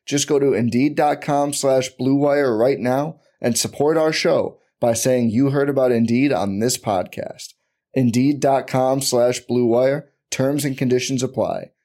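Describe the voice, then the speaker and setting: Male ad announcer, mellow warm voice, scripted read. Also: medium pitch, unhurried.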